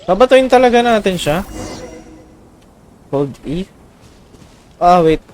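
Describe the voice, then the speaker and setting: A man's voice: sits high, animated, slow pace, a little mellow, slight background noise. A man with a Filipino accent, a native Tagalog speaker, chatting casually on a gameplay livestream in a mix of Tagalog and English. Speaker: game livestreamer. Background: game music.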